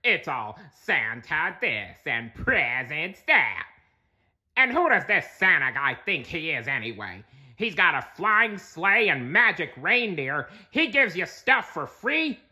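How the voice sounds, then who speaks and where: A grumpy male cartoon squid, acted out by a man reading a storybook, pitched well up and swinging widely. He is sarcastic and exasperated, with a bright, forceful edge to his griping. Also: very animated, slow, full character voice. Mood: sarcastic rant. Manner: sarcastic, complaining, prone to shouting outbursts.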